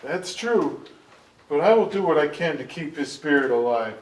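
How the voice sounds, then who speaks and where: Man acting loyal royal storyteller, earnest, stage musical reading, higher-pitched, far from the mic. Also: expressive, moderate pace, natural voice. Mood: wistful, resolute. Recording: echoey stage, distant mic, faint music.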